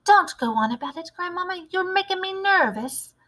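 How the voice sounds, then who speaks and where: Woman voicing an eager high-pitched little mouse boy. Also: expressive, moderate pace, pushed and bright, heavily acted. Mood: nervous.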